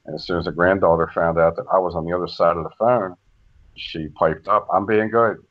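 Deep-voiced older man, muffled. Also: fairly even intonation. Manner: slow, measured storytelling with frequent restarts. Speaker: guest. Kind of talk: casual podcast conversation. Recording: dull lo-fi audio.

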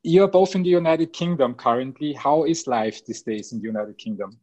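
Measured male podcast host. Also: medium pitch, a little mellow, muffled recording. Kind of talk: scripted intro, then interview questions.

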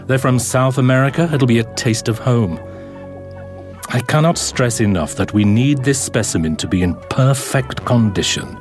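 An actor playing a cold, imperious head scientist who gives curt commands in an audio drama. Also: male voice, mid-range voice, moderate pace, soft background music, lightly acted. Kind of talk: full-cast audio drama acting.

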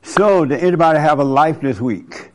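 A man hosting a live audience question session, teasing and quick in back-and-forth with attendees, speaking into a handheld mic in a hall. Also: a little high. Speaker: host leading a live gathering. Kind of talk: casual audience Q&A.

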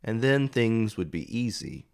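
Guy preacher doing a reflective sermon, really slow n measured, voice on the low side. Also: animated, smooth. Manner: measured, deliberate, with pauses between short phrases.

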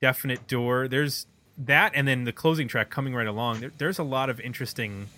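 A man's voice: mid-range voice, brisk. A man hosting a podcast in a casual music review chat, thinking aloud in a conversational way. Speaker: podcast host.

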